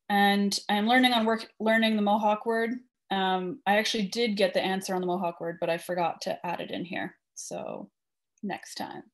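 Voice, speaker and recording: Canadian woman, mid-pitched, reading a statement. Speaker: guest speaker. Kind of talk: reading a prepared personal statement at an online meeting. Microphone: video call.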